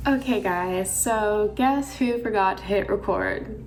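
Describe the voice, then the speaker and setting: A young woman with a raspy voice, chatting casually through a makeup tutorial with lots of filler words. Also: mid-range voice. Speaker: beauty vlogger.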